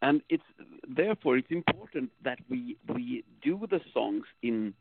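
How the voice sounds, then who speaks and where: A band member from Sweden with a Swedish accent, speaking slowly and hesitantly and often restarting his sentences. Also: mid-range voice, expressive, creaky, a little hiss or hum. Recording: dry phone-like line.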